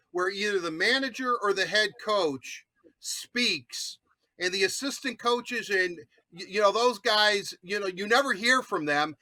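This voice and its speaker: A man's voice: sits high, sharp tone, no echo. An American man co-hosting a sports talk show, chatting casually with rambling asides. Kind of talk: casual chat, sports interviewing.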